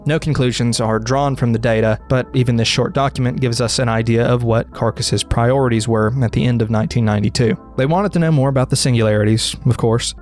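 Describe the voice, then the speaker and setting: A man's voice: mid-range voice, fairly even intonation, fast talker, hardly any pauses, a little mellow. Man narrating scripted horror explainer over music.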